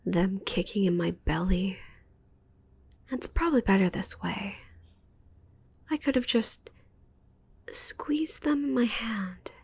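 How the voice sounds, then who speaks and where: A woman's voice: medium pitch, lively intonation, vocal fry, a little mellow, a little hiss or hum. A woman playing a possessive, doting yandere witch in an ASMR roleplay, whispering breathily and intimately with sultry, gloating teasing. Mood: gloating, hushed. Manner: slow, intimate, teasing delivery.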